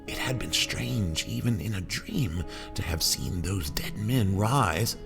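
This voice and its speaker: A man's voice: low-pitched, animated, slow pace, vocal fry, voice pushed up, exaggerated voice. A man voicing a haunted old sailor in a solemn, theatrical recitation of narrative verse. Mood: haunted, intense. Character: haunted old male sailor recounting ghostly ordeal at sea. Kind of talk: dramatic poetry recitation. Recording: music under the voice. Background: plucked guitar music.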